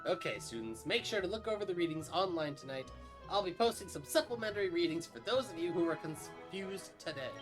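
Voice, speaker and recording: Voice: mid-range voice, animated, a little fast, natural voice. A performer voicing a friendly, instructive history teacher in a visual novel reading. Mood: upbeat lecturing. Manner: presentational, instructive. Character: friendly school history teacher addressing a class. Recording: music clearly audible behind.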